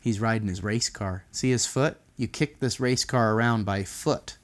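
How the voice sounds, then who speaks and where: A man's voice: on the low side, moderate pace. A man reading a picture book aloud to children, with chatty, improvised asides and childlike enthusiasm.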